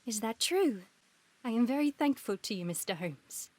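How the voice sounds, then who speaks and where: A woman's voice: fairly high pitch, animated, normal speed, darkish tone, no echo. An actress playing a polite, genteel Victorian lady in a video game, speaking in a refined manner. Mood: grateful.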